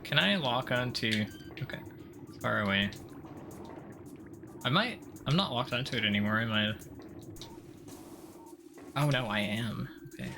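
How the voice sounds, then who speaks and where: A man's voice: medium pitch, lively intonation, measured pace, long pauses. A man giving casual gameplay commentary, thinking aloud in a hesitant, self-deprecating mutter with some mild swearing. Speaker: gaming streamer playing through a game. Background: game music.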